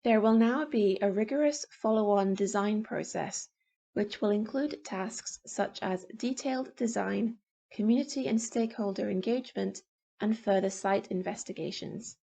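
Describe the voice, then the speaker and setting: Woman, scripted news reading, raspy tone, frequent vocal fry, measured, formal. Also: mid-range voice, warm tone. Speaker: volunteer newspaper reader. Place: home.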